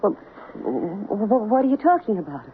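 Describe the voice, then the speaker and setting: An actress playing a tense, domineering, scheming wife in an old-time radio drama, sharp and commanding, on a tinny, noisy recording. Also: female voice, medium pitch, big pitch swings, normal speed, lightly acted. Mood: cornered.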